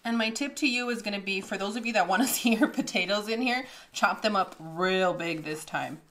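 A woman in her thirties hosting a casual cooking demonstration in a bright home kitchen, chatty and upbeat, laughing often. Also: mid-range voice, a little fast, a little hiss or hum. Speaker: cooking show host.

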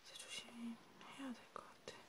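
A woman voicing a cautious vampire healer in a hushed, intimate, concerned whisper for an ASMR role play. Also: a little high, flat delivery, moderate pace, lightly acted. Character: cautious female vampire healer tending a hunted friend.

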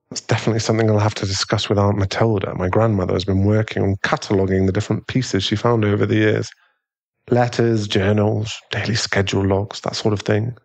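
A man acts out an aristocratic British werewolf fiancé for an ASMR audio drama. The voice is a fairly low male one, affectionate and polite, with a gentle, unhurried delivery. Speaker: ASMR boyfriend voice actor. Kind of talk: ASMR boyfriend audio roleplay.

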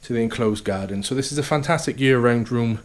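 A man's voice: medium pitch, a little fast. A man, an estate agent, giving an upbeat walkthrough tour of a house, full of sales patter and repeated "okay" and "fantastic".

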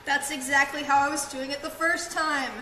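A woman's voice: high-pitched, fairly even intonation, normal speed, bright, forceful tone, slight background noise. A young woman from Kansas, teasing and giving cooking instructions in a home kitchen. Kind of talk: casual banter while cooking. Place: large home kitchen, indoors. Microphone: camera mic across the room. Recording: very echoey kitchen, distant from mic.

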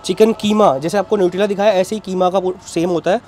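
A man's voice: sits high, fairly even intonation, quick pace, darkish tone. A young man running a street food stall, politely explaining his menu items and their protein counts in detail. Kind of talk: casual explaining to a food vlogger.

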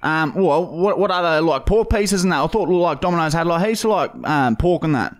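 Man acting Aussie bloke, broad Australian accent, slightly high voice, slangy, blunt, matey, rambling prank caller. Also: animated, fairly quick, close to an everyday voice. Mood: matey, casual. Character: blunt, sweary, easygoing Aussie bloke named Darren. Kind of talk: prank call character comedy. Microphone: close boom-arm podcast microphone.

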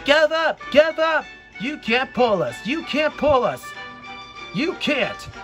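Shrill, jeering freight cars voiced by a man. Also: sing-song, takes it slowly, music in the background, heavily acted. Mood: jeering, defiant. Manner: shrill, taunting, repeated cries. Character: rude, jeering, rebellious gang of railway freight cars.

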